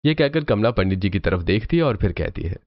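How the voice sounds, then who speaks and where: A man's voice: on the low side, big pitch swings, a little fast. A man narrating a scripted cartoon story. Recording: muffled audio over loud music.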